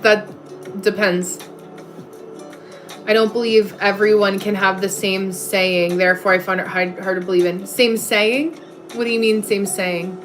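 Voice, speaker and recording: A woman's voice: mid-range voice, level tone, a little slow. A woman hosting a livestream in a casual, chatty way, replying to comments and breaking off to greet viewers. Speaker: livestream host. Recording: music playing behind the voice. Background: music.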